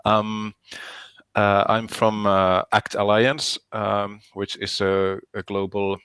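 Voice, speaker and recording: A man from Finland with a Finnish accent, introducing himself on an online panel in a measured way. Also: fairly low pitch, flat delivery, a bit bright, clear, resonant tone, no echo.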